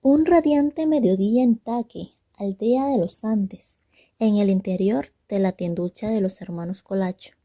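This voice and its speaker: A young woman narrates a scripted story. Her timbre is extremely mellow and dark, with a middling pitch. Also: a little slow. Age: young adult. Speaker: student narrator.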